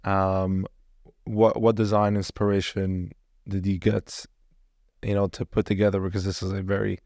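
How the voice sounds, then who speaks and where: Low, even-toned male podcast host. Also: moderate pace, dry recording, studio quality. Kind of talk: podcast introduction and interview questions.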